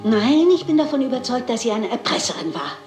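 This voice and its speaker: An actress playing a shrewd, brisk elderly lady detective in a German crime radio play, polite and assured. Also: on the high side, very animated, a little mellow, reverberant, faint music behind. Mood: brisk, determined.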